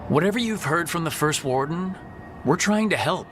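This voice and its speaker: A man's voice: a little high, animated, moderate pace, fairly bright tone, a little hiss or hum. An actor playing a determined male adventurer in a fantasy video game, direct with a dry, casual manner. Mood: earnest. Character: determined, wry male adventurer leading a mission.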